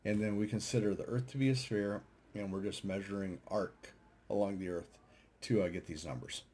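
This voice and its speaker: Low-voiced man, hesitant and unscripted. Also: steady tone, fairly quick, darkish tone, slight background noise. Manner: hesitant, thinking aloud, unscripted. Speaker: amateur radio operator and video host. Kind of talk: casual screen-share commentary on data charts.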